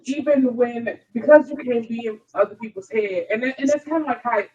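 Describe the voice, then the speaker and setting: A woman's voice: fairly high pitch, fairly quick, a little mellow, recorded from a distance, muffled recording. An American woman on a scripture study panel, talking conversationally and checking in often, picked up without a microphone of her own. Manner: conversational, frequent check-ins with listeners. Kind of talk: casual Bible discussion. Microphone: picked up from across by another's mic.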